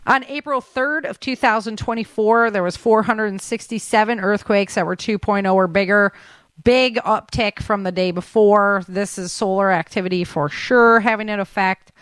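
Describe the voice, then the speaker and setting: A woman's voice: medium pitch, normal speed, fairly bright tone, clean tone, dry recording. A woman hosting a talk show, running through news updates in a chatty, informal way. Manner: chatty, informal, addresses listeners as guys. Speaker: show host.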